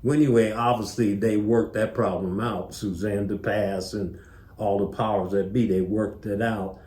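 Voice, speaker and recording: A man's voice: on the low side, fairly even intonation, normal speed, a little mellow. An older man, an interview guest, telling stories casually and acting out remembered dialogue as he goes. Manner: acts out remembered dialogue as he narrates. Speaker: interview guest, former tour manager. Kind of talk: casual anecdotal storytelling. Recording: roomy, echoing interview sound.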